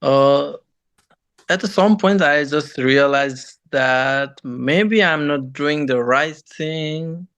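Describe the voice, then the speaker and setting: A young man from Nepal with a Nepali accent, answering interview questions in halting, non-native phrasing. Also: on the high side, fairly bright tone, clear, resonant tone, no echo, muffled recording. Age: young adult. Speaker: guest, engineering graduate and former student.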